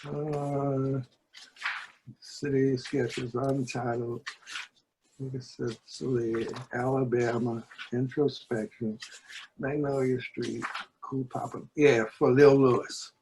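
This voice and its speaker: A man, speaking in a measured, deliberate way with pauses, discussing and reading poetry. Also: mid-range voice, flat delivery, noisy background. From New Orleans, United States. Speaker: book editor and poetry reader.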